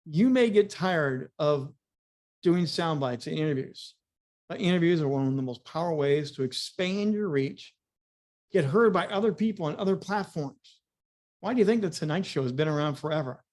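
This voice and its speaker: Male media coach, voice a little on the high side, presenting business advice with emphatic, punchy, repetitive phrasing. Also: animated, normal speed, a little mellow. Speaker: media coach and motivational speaker.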